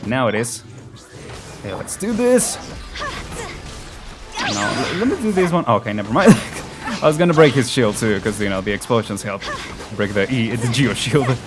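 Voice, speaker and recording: A chatty man gives casual let's play commentary in a mid-range voice. His pitch moves around a lot and he chuckles easily. Faint game music plays behind him. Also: expressive, moderate pace, long pauses. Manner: chatty, often chuckling between remarks. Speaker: gaming video host.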